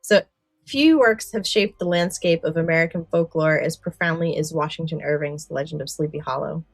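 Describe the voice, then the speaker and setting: A woman's voice: sits low, fairly quick, gravelly, breathy edge, no echo, soft background music. A woman co-hosting a podcast, explaining a favourite subject with enthusiasm, moving between prepared summary and casual discussion. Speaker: podcast co-host.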